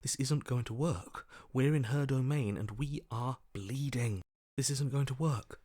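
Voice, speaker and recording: An actor playing a wary, primal survivor's inner voice in a video game, speaking in terse, watchful phrases. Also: male voice, medium pitch, a little slow, warm tone, dry recording, studio quality, lightly acted. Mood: tense, urgent. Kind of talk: video game character voice acting.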